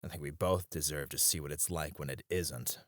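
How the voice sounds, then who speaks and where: A man's voice: very deep voice, fairly even intonation, brisk, no echo, studio quality. A man playing a weary, tender warrior and teasing sparring partner in an intimate ASMR romance roleplay, speaking wistfully with chuckles and sighs. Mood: hopeful, weary.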